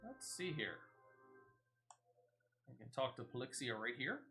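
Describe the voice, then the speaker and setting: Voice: medium pitch, sing-song, a little slow, bright, forceful tone. A gamer giving casual gameplay commentary in a playful way, often slipping into sing-song babble while talking to themselves. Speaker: gaming streamer. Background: game music.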